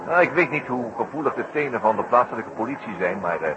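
An actor playing a shrewd, amused detective inspector who muses and repeats himself, in 1960s radio drama style. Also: male voice, mid-range voice, expressive, quick pace, lightly acted. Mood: dry, musing. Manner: musing, hesitant, repeats his words. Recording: muffled, noisy old radio recording.

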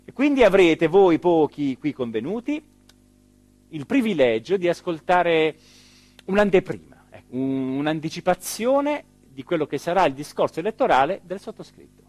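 An actor playing a rueful, self-important small-town mayor in a rambling, hesitant theatrical monologue. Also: male voice, a little high, very animated, darkish tone, dry recording. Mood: self-important, eager. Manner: rambling, hesitant, emphatic, with repeated phrases and pauses. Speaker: stage actor performing a radio theatre monologue.

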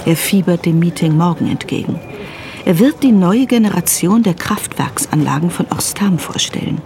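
A woman giving a measured, scripted narration in a radio drama. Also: on the low side, lively intonation, a little mellow.

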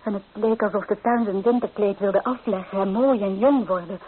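An actress playing a restless, romantic young bride-to-be in a Dutch radio drama, speaking with emotional, poetic intensity. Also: female voice, medium pitch, normal speed, breathy edge, phone-quality sound, close to an everyday voice. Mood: anguished, wistful. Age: young adult. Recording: thin, band-limited old radio recording, faint noise.

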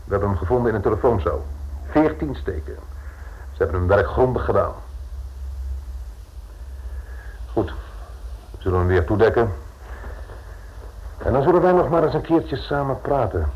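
Stern police inspector, muffled old radio. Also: male voice, medium pitch, lively intonation, fairly quick, pauses often, slightly rough. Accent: Netherlands Dutch. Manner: formal, commanding, faintly sarcastic. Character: stern, dryly sardonic male police inspector. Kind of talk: radio drama acting. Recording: muffled, narrow-band old radio recording.

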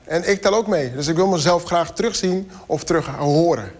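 Higher-pitched male interviewee. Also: normal speed, a little hiss or hum. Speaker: broadcaster representative, interviewee. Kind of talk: spoken interview.

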